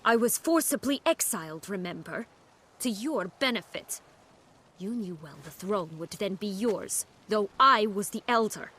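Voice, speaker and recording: A woman playing a proud, bitter exiled noblewoman in a video game, speaking in a deliberate, cutting, dramatic manner. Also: mid-range voice, expressive, fairly bright tone, slightly rough. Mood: bitter, accusing. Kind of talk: video game cutscene acting.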